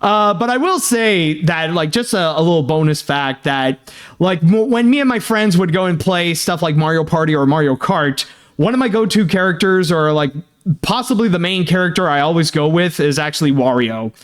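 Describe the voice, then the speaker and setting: Young male host, slightly high voice, chatty and halting with lots of fillers, earnest fan-mail reader. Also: lively intonation. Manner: halting, filler-heavy, many "uh" and "like"; earnest, thanking and sympathetic. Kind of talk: casual chat reading fan mail.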